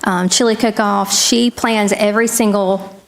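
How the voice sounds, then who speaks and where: A woman's voice: medium pitch, fairly even intonation, measured pace. A middle-aged woman making public award announcements at a podium microphone in a meeting room. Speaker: presenter at county meeting. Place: indoor government meeting room. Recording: clear background noise in meeting room.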